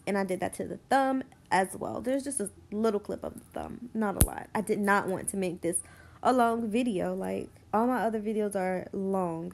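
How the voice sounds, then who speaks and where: A woman's voice: mid-range voice, creaky, a little mellow. A young woman with a stuffy, congested voice, rambling casually with lots of fillers as she talks through a nail tutorial.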